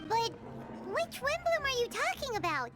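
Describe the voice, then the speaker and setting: A woman voicing a small, curious and cheeky childlike fairy companion in a video game, with a squeaky voice. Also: sing-song, normal speed, loud music behind the voice. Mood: teasing, surprised.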